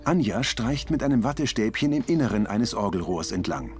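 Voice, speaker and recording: Low-pitched male narrator over music. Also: big pitch swings, brisk. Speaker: audio description narrator. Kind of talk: scripted narration of on-screen action.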